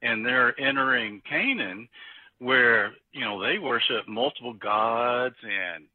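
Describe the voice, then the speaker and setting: Husky man on a tinny phone line. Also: medium pitch, slow, dry recording. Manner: joking, laughs easily. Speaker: guest. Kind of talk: casual conversation about scripture.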